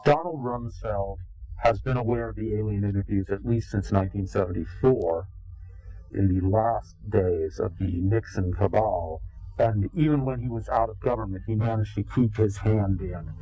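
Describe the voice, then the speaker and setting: A man giving an interview in a slow, grave, deliberate way, pausing often between phrases. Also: lowish voice, mellow, slightly rough, soft background music, low-quality recording. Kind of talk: ominous recorded interview.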